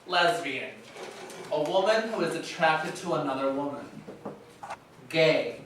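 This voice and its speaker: Actor as formal higher-voiced male definition reader, echoey. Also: expressive, a little slow, a bit bright. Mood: formal, declarative. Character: formal narrator reciting dictionary definitions. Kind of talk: staged reading, measured recitation. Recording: echoey hall, distant mic, faint noise.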